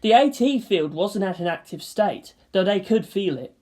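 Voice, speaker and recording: A woman narrates a dramatic story with lively, varied intonation and a middling pitch. Her delivery is scripted storytelling. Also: moderate pace.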